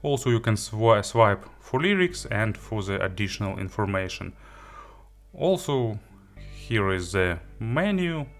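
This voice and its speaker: A man with a fairly low voice talks through an unscripted gadget review. His delivery is halting as he often searches for the next word. Music plays along behind him. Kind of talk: unscripted product review commentary.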